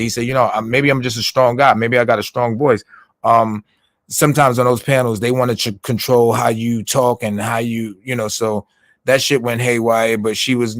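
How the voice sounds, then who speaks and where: A man's voice: mid-range voice, dry recording. A man giving a casual, rambling recap on a livestream, full of fillers, restarts and swearing. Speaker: livestream commentator.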